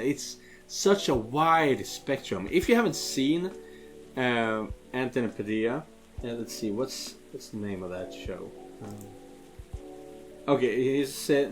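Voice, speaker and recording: Low-voiced man rambling through game commentary. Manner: rambling, searching for words. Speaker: video game let's player.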